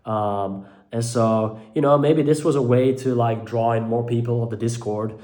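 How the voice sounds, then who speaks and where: A man's voice: mid-range voice, steady tone, normal speed, dark tone. A young man from Belgium, a native Dutch speaker with a Belgian Dutch accent, talking to camera about mental health from his living room, hesitant and full of fillers and restarts. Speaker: mental health content creator. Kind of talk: casual talk to camera.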